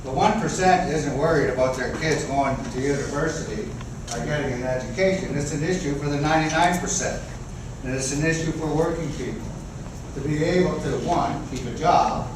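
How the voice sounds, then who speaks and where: A man's voice: on the high side, normal speed. Man making an earnest union speech, heard from afar with background noise. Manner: earnest, rallying, with frequent fillers. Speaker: labour union speaker. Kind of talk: public speech at an event. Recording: echoey hall, far from mic, noisy.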